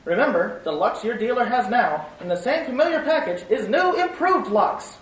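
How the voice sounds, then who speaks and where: A woman's voice: fairly high pitch, expressive, normal speed, recorded from a distance, natural voice. A woman playing an upbeat 1940s radio announcer reading a soap commercial. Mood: salesy. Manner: upbeat, salesy. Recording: echoey room, voice off-mic.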